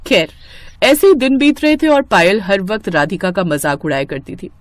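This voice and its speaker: A woman's voice: mid-range voice, lively intonation, moderate pace, no echo. A woman reading scripted storytelling narration for a cartoon tale.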